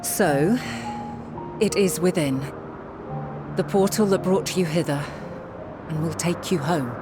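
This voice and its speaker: An actress playing a wise, resolute leader in a game, speaking in measured, solemn, formal archaic phrases. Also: female voice, on the low side, over music. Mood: solemn, kind. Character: wise, resolute ancient woman leader, grave yet hopeful. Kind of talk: dramatic scripted game acting.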